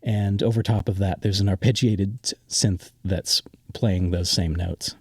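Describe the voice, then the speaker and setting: A man's voice: low-pitched, fairly even intonation, a little slow, slightly rough, no room sound, clean studio sound. A man explaining his music in an interview as a composer, thoughtful and searching for words. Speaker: interviewed audio drama composer. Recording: loud synthesizer music behind the voice. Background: loud synthesizer music.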